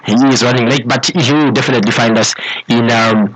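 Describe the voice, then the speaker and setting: A man with a Zambian accent presenting a radio discussion show in halting, deliberate phrases. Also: mid-range voice, fairly even intonation, fairly bright tone, slight background noise, poor audio. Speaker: radio host.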